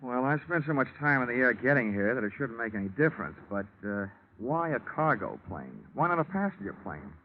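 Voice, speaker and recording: A man's voice: mid-range voice, very animated, a little fast, few pauses, slight background noise. An American actor playing a dry, sardonic, clipped insurance investigator who narrates his case in 1940s radio detective style. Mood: wry. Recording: dull 1940s radio recording.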